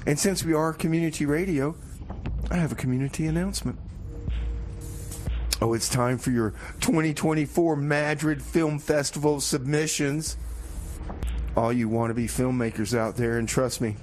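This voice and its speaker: A man's voice: a little high, normal speed, a little mellow, soft background music. A man hosting a community radio show with casual, comedic DJ patter, rambling in a folksy way and repeating his phrases.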